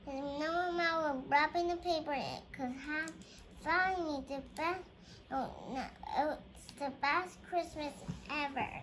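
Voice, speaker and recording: A child with a high voice reads a holiday picture book aloud. The reading is slow and halting, with stumbles over the words. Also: a bit bright, slight background noise.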